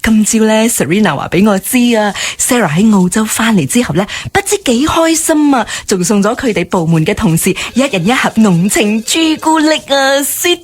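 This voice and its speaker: An actress playing an eager, gossipy female office worker in a radio drama, talking in an animated, insistent way. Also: on the high side, moderate pace, few pauses, husky. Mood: gossipy, excited.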